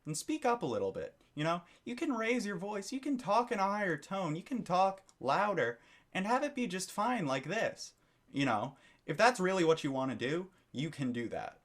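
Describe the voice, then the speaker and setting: A man's voice: high voice, very animated, moderate pace, fairly bright tone. A man giving a critical commentary monologue for his video channel, lecturing and disapproving, often repeating his phrases. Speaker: YouTube commentator.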